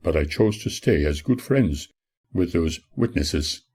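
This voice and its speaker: An older man, an author, reads his own memoir as scripted audiobook narration in a formal, measured manner. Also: deep voice, lively intonation, a little mellow, husky.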